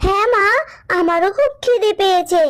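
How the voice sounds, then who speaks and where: Casting: woman as timid little cartoon girl, extremely high voice, sweet and childlike. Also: normal speed. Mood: happy, grateful. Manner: childlike, plaintive. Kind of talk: Bengali children's cartoon dub.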